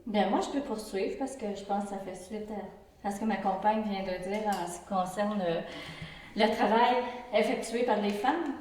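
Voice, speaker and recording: A Québécois woman in her sixties speaking in a group interview, earnest and halting, often searching for her words. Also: mid-range voice, a little mellow. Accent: Québécois French. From Quebec. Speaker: interview participant. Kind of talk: reflective group interview testimony. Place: indoor room with a pump running. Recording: very echoey room, distant mic.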